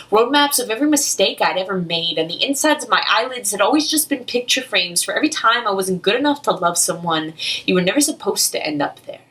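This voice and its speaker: A woman's voice: medium pitch, sharp tone. A young woman recites her own spoken-word poetry in an earnest, emotive, rhythmic delivery. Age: young adult. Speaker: slam poet. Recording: distant, off-mic amateur recording.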